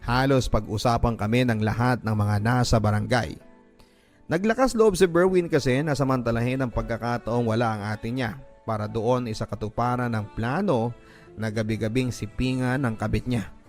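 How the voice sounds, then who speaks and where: A man's voice: medium pitch, moderate pace, darkish tone, lightly acted. A man voicing a betrayed, bitter young mother in a dramatic, emphatic radio drama narration. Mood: bitter, resigned. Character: betrayed young mother, wronged and bitter, telling her story. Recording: music under the voice.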